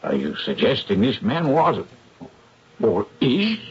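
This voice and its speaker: An actor playing an earnest, questioning police superintendent in a 1970s radio drama, with dramatic, halting phrasing. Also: male voice, mid-range voice, huge pitch swings, slightly rough, exaggerated voice. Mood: alarmed wonder. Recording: muffled, noisy 1970s radio recording.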